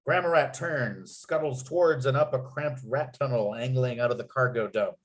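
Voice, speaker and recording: A man's voice: mid-range voice, normal speed, low-quality recording. A man reading script stage directions aloud as narrator, with clipped, dramatic scene headings. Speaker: narrator and writer.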